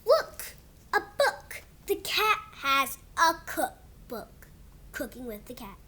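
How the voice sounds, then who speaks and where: A child hosting a read-aloud of a picture book, reading haltingly like a beginner and drawing words out. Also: high-pitched, sing-song, sharp tone.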